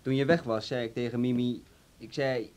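An actor in a Dutch radio play voicing a boastful small-time crook with a chatty, wheedling manner. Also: male voice, medium pitch, steady tone, moderate pace, darkish tone, natural voice. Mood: ingratiating. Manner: chatty, wheedling, tag questions.

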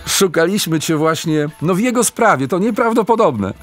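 An actor playing a polite, hesitant host of a live radio phone-in in a radio play. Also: male voice, high-pitched, animated, normal speed, soft background music. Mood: amazed, grateful. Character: polite, amazed radio call-in show host.

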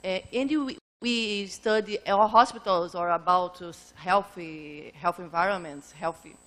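A woman from Brazil asking a question in halting, English, searching for her words. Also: fairly low pitch, fairly even intonation, fairly bright tone. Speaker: audience member asking a question.

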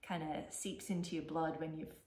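A middle-aged woman with an Australian accent chatting casually as a knitting podcast co-host, often pausing to think aloud. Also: low-pitched, fairly even intonation, a little mellow. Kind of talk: casual chat showing knitting projects. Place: living room, seated on a couch. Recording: echoey room.

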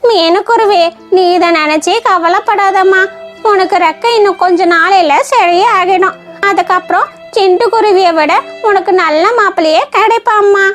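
An extremely high, childlike female bird voice. The character speaks earnestly and directly, as in a children's cartoon, with music clearly playing behind. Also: moderate pace. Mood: kindly, reassuring. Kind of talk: children's cartoon story voice acting.

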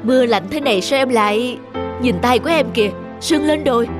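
A woman voicing a kindly, concerned male schoolteacher in a children's cartoon dub, speaking gently and earnestly. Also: on the high side, a little fast, music in the background, natural voice. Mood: worried.

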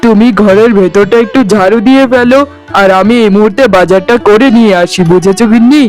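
A man's voice: high voice, fast, a little mellow, faint music behind, lightly acted. A man voicing a caring, cheerful young village husband in a cartoon, homely and reassuring. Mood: contented, caring. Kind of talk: cartoon voice acting.

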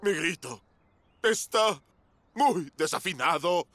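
A man's voice: a little high, animated, slow. A man playing a famous stunt motorbike hero in a children's cartoon, boastful yet bashful, with a theatrical, stammering delivery. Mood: embarrassed, dejected. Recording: music playing behind the voice.

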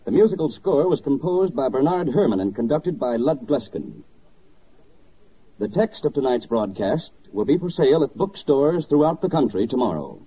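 A man works as a formal radio announcer of the 1940s. He enunciates scripted copy with lively rises and falls at a middling pitch. The old broadcast recording sounds a bit dull. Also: normal speed.

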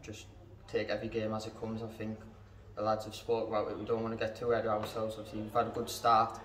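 Young man, team captain, post-match interview, very monotone, matter-of-fact, roomy echo, distant mic. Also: fairly low pitch, fast, slight background noise. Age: young adult. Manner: plain, matter-of-fact, frequent fillers. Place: indoors in front of a club sponsor backdrop. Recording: echoey room, mic at a distance.

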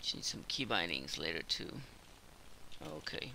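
A man's voice: low voice, very animated, a little slow, vocal fry, background noise. A man with a cold, casually commenting on his own gameplay while he plays, rambling with lots of fillers. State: hoarse from a cold.

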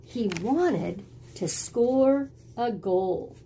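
A middle-aged woman reading a picture book aloud in an expressive, deliberate storytelling style, indoors at home. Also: on the high side, a little hiss or hum. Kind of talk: expressive children's read-aloud. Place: small indoor room at home with bookshelves.

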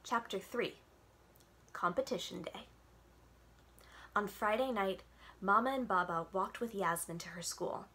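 A woman's voice: mid-range voice, measured pace, pauses often, fairly bright tone. A woman in her thirties, a children's librarian, reading a storybook aloud expressively at home in front of a webcam. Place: home room with bookshelves, indoors. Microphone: webcam at desk distance.

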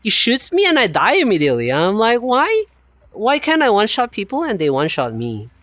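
A male streamer with a light non-native accent rambles in casual chat. His voice leaps high and drops low. The sound is dry, without room echo. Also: a little fast. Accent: non-native, unnamed. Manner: animated, rambling, laughs often. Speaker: streamer host.

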